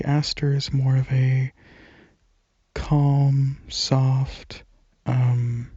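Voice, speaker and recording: Male ASMR creator, very breathy and near-whispered, low-key flat delivery, halting casual rambling, dry close mic. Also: dry recording, muffled recording. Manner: hesitant, halting, informal.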